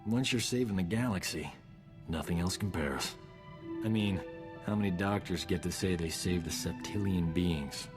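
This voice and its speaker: An actor playing a cocky, flirtatious doctor in a video game, breezy, joking and pleased with himself. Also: male voice, sits low, moderate pace, music in the background, natural voice. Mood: breezy, boastful. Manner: breezy, self-satisfied, joking. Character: cocky, flirtatious male doctor, wisecracking space adventurer. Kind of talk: scripted video game acting.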